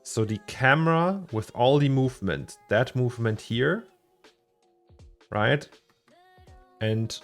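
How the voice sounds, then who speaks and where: A low, gravelly man with a German accent talks his way through a fiddly software problem on a livestream. He repeats words as he thinks and chuckles between thoughts, with soft music playing behind him. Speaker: 3D artist streaming his work. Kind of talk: casual livestream chat while working.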